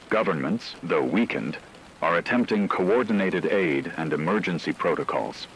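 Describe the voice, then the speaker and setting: A man playing a grave radio newscaster, reading scripted emergency narration in a solemn, measured way. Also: sits low, a bit bright, slight background noise, exaggerated voice, rough recording. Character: grave male radio newscaster reporting an apocalypse's aftermath.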